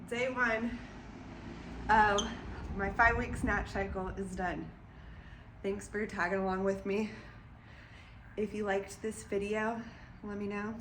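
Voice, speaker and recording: A woman's voice: mid-range voice, steady tone, takes it slowly, pauses often. A young woman with a slightly nasal, congested voice, giving casual lifting tips in a home garage between sets and a bit winded. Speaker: vlogger and former weightlifter. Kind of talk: casual training vlog with coaching tips. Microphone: camera set up away from her. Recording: echoey garage from a distance with noise.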